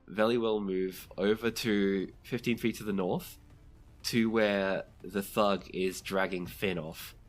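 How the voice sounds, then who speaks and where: Man, lowish pitch, game master, tabletop roleplaying narration and rulings. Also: fairly even intonation, takes it slowly, faint music behind.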